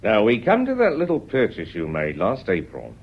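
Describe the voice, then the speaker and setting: An actor playing a courteous but relentless police inspector in a radio play, questioning in a deliberate, insistent way. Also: male voice, lowish voice, wildly swooping pitch, breathy edge, lo-fi sound, full character voice. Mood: measured, probing.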